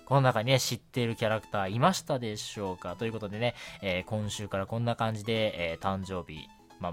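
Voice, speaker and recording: A man hosting a night radio show, chatting casually and politely on his own, often punctuating his talk with short affirmations. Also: medium pitch. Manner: chatty, polite presenter phrasing with frequent short self-affirmations. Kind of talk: casual solo radio talk about anime and manga.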